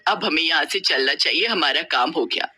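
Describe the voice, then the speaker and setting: A performer voicing a kindly but scheming female witch in an animated, conspiratorial storybook manner. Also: medium pitch, normal speed, slightly rough, faint music behind, voice pushed down. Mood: earnest.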